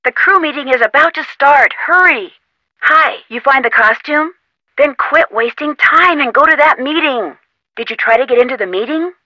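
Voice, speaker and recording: A woman's voice: high-pitched, animated, normal speed, bright, forceful tone, dry recording, rough recording. An actress playing a plucky young female amateur detective in an adventure game, talking in an encouraging, insistent, conversational way. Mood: urging. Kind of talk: scripted adventure game dialogue.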